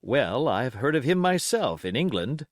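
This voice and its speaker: Man acting a courteous gentleman doctor, lilting pitch. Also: very animated, no echo, studio quality. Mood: composed, firm. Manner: courteous, measured, pointed. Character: genial, level-headed gentleman doctor.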